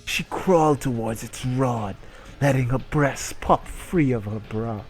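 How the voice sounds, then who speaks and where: A man's voice: medium pitch, unhurried. A man giving a comic dramatic reading of an erotic short story in a theatrical narrating manner. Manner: theatrical narration breaking into incredulous asides and giggles. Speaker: reader performing a dramatic reading.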